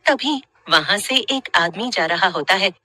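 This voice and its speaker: A woman narrates a scripted horror story. Her voice is very bright and forceful, and the recording is close and dry. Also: medium pitch, animated, measured pace, dry recording.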